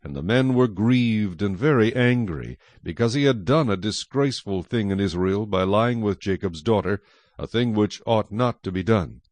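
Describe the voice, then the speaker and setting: Low-voiced man narrating scripture. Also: lively intonation, normal speed, darkish tone, no echo.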